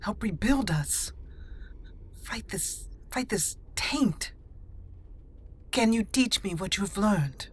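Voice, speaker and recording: A woman's voice: medium pitch, lively intonation, takes it slowly, pauses often, slightly rough. A woman narrating a fantasy game roleplay in a thoughtful, earnest way, speaking in character between her explanations.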